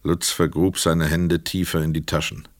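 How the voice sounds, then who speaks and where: A man narrating a literary crime radio play in a measured, sober, scripted delivery. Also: a bass voice, level tone, no echo, studio quality.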